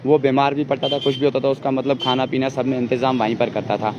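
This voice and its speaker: A man's voice: medium pitch, little pitch movement, noisy background. A man and father pleading urgently outdoors about his sick baby's treatment, in a news interview. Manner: urgent, pleading, rapid complaints. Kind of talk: distressed street interview. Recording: dull lo-fi outdoor news audio.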